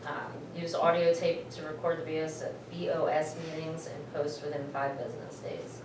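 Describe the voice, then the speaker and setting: Low-voiced woman, matter-of-fact, echoey room. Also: flat delivery, normal speed, noisy background. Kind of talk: casual meeting discussion. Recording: roomy, distant meeting-room recording.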